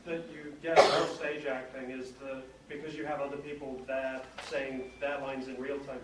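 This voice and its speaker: A man's voice: medium pitch, level tone, normal speed. A man in the audience asking the panel a question, hesitant and thoughtful. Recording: distant echoey room mic, loud noise, clicks.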